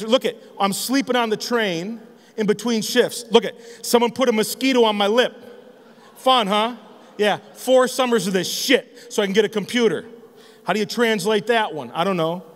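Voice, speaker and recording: A high-pitched man in his forties from northern Michigan holds forth from a conference stage, cursing freely and teasing a quiet crowd. His voice carries a long reverb tail from the big hall around him. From northern Michigan, United States. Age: 40s. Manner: brash, joking, swears freely, chides the crowd. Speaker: graphic designer giving a conference talk. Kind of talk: loud, profane stage talk with banter to the audience.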